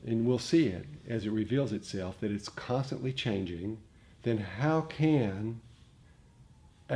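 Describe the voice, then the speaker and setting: A man giving an informal dharma talk to a group as a meditation teacher, speaking in a halting, reflective way and often rephrasing. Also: lowish voice, dark tone. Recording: noticeable background noise.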